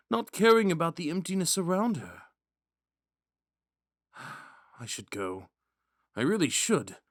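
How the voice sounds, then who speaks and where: A man's voice: on the low side, no room sound, clean studio sound. Man playing a wistful young prince, swooping intonation. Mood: wistful musing. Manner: musing, hesitant, courteous, with sighs and whispered asides. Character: young prince, third in line, wistful, gentle, smitten romantic. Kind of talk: romantic audio roleplay with inner monologue.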